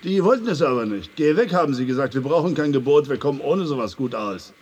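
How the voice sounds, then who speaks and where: A husky, sly, stingy prison guard. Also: male voice, a little high, big pitch swings, fast, a little mellow, dull audio. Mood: contrary. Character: world-weary, venal male prison guard, stingy and sly. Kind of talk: German radio drama acting.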